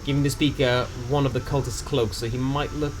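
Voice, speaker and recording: A man's voice: mid-range voice, level tone, fairly quick, background noise. A man narrating a tabletop game story recap in a casual, dramatic storytelling way with some slang. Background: music.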